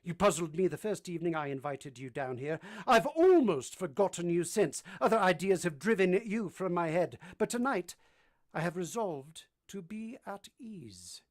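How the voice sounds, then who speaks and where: A woman playing a brusque, moody gentleman master in a vintage-style radio drama, commanding and teasing as they question. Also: lowish voice, animated, unhurried. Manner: imperious, teasing, theatrical questioning.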